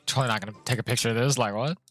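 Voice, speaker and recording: A man's voice: mid-range voice, fairly even intonation, rapid-fire, a bit bright, no echo, faint music behind. A young man chatting casually on a game stream in a slangy, playful way, muttering asides to himself. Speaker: live streamer.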